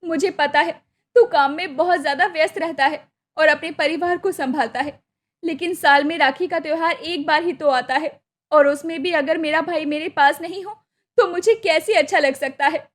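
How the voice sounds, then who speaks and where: A woman's voice: high voice, moderate pace, fairly bright tone. A woman voicing a hopeful, devoted young village sister in a cartoon story, earnest and pleading. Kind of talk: Hindi cartoon moral story acting.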